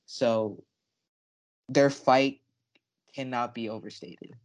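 A man's voice: mid-range voice, fairly even intonation, slow pace. Young man co-hosting a sports podcast. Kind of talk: casual sports discussion.

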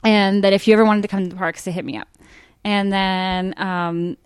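A woman from Miami, a guest introducing herself casually. She talks in a friendly, rambling way with a few fillers. Her voice is smooth and clear, recorded dry and close. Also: mid-range voice, fairly even intonation, normal speed, dry recording. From Miami, Florida. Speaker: guest, listener.

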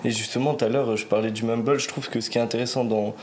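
A man's voice: medium pitch, fairly even intonation, fast talker, a little mellow, slight background noise. A young man hosting a podcast, leading the chat casually with questions and slangy fillers. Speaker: podcast host. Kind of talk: casual interview.

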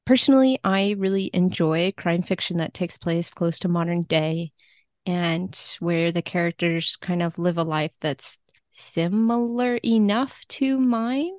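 Podcast guest, woman: lower-set voice, very dry sound, chatty, wry, conversational. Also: a little mellow, no room sound. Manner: chatty, wry, quick to laugh. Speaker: guest, literary translator. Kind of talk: casual podcast conversation about books.

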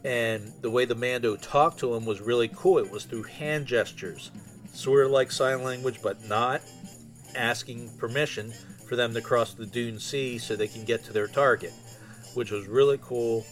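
A man's voice: mid-range voice, moderate pace, faint music behind. A man hosting a fan podcast solo, chatting in an informal, conversational way. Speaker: podcast host.

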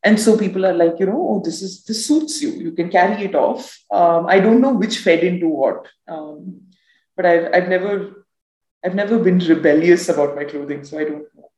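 A woman with a notably low, deep voice talks through her thoughts in a candid, reflective way. She laughs easily as a podcast guest. Her voice sits in a roomy, echoey space. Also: moderate pace, darkish tone. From South Asia. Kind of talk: candid conversational interview.

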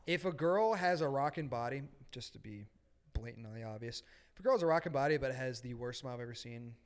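A man's voice: mid-range voice, expressive, fast talker. A man from Ohio co-hosting a podcast, joking and teasing in crude casual chat. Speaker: podcast co-host.

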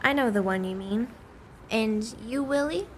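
A woman's voice: a little high, unhurried, a little hiss or hum, close to an everyday voice. A woman playing an earnest, inquisitive small-town newspaper reporter in a radio play, in a conversational style. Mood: friendly, probing.

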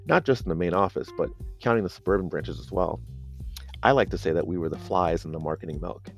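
A man's voice: low voice, animated, quick pace, creaky, highs cut off, soft background music. A man narrating a serialized audio story in the first person, in a conversational, dry and wry manner.

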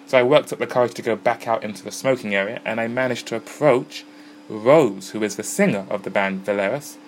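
A man's voice: lowish voice, brisk. A man hosting a music podcast, chatting casually and easily about bands and gigs. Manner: chatty, easygoing, self-deprecating. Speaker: podcast host. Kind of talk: casual podcast narration and interviews. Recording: noisy pub smoking area with crowd.

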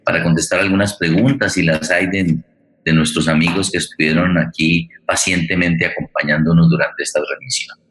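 A man hosting a live conversation, haltingly repeating words as he searches for the next one. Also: low-pitched, steady tone, fairly bright tone. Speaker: forum host. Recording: echoey room sound.

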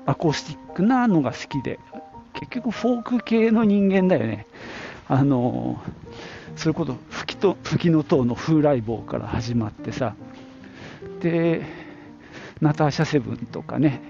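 Gravelly middle-aged man reminiscing. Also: medium pitch. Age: middle-aged or older. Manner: reflective, conversational, thinking aloud. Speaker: podcast host. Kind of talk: casual solo reminiscing. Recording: dull lo-fi audio, prominent music. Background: music.

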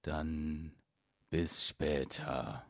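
A mournful, lovelorn beast, put on by a man reading a fairy tale, rumbling very low and slow. Every line drags with heavy sorrow, and the dry, close sound keeps him right at the ear. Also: steady tone, vocal fry, dry recording, full character voice. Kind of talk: storybook reading.

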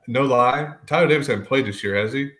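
A man with a medium-pitched voice chats casually about sports as a podcast co-host. His delivery is blunt and bantering, like talk among friends. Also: brisk, fairly bright tone.

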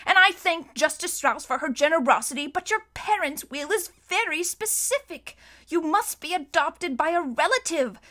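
A woman's voice: extremely high pitch, expressive, normal speed, pushed and bright, voice pushed up, full character voice. A woman voicing a fussy, formal middle-aged male guardian who talks in a stiff, apologetic way. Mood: firm apologetic.

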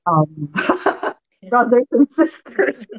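A woman's voice: a little high, very animated, drawn-out words, muffled recording. A woman from Malaysia, hosting a spiritual talk show interview in an enthusiastic, effusive way. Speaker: podcast host.

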